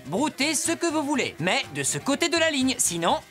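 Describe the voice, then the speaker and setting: A man voicing a gentle, earnest young shepherd in love, in a children's cartoon with music behind. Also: extremely high pitch, sing-song, moderate pace. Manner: tender, earnest.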